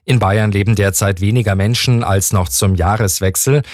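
Low, dry-voiced male newsreader. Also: no room sound. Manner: measured, even newsreader delivery. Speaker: news anchor. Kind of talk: read news bulletin.

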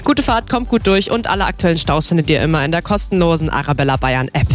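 A woman's voice: low voice, fast talker, soft background music. A woman presenting radio traffic news, addressing drivers informally in a scripted report.

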